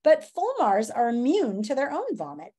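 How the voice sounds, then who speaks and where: A woman in her fifties reads aloud to children in a high voice. Her delivery swings up and down with dramatic expression, and she breaks off for teacherly asides and questions. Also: wildly swooping pitch, normal speed, dark tone, slightly rough. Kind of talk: animated read-aloud of a nonfiction children's book with asides. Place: small room at home.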